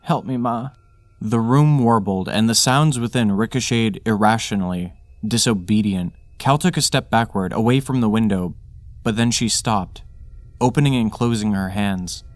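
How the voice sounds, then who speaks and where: A man's voice: fairly low pitch, normal speed, no echo. A man reading scripted material as the narrator and host of a fiction podcast.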